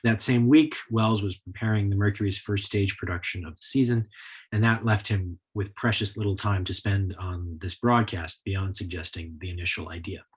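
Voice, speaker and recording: Guy doing a history talk, lowish husky voice, explains stuff like a lecturer. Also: fairly even intonation, moderate pace. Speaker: lecturer, author.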